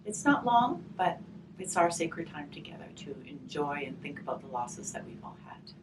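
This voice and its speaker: A woman with a low-pitched voice talks softly and reflectively, like someone guiding a gentle session or reading to a small group. She takes her time and often lets phrases fade. She sounds distant from the microphone, with clear noise in the recording. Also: big pitch swings, normal speed. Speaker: hospice volunteer leading a grief session. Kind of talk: gentle spoken session with storybook read-aloud. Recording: distant microphone, clearly noisy room ambience.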